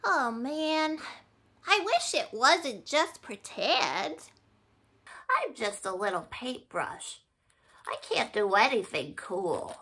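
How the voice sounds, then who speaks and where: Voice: high voice, sing-song, slow, pushed and bright, husky, exaggerated voice. A performer voicing a small, playful paintbrush who pretends to be a dinosaur, in a bouncy, sing-song way. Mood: glum. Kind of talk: children's puppet show acting.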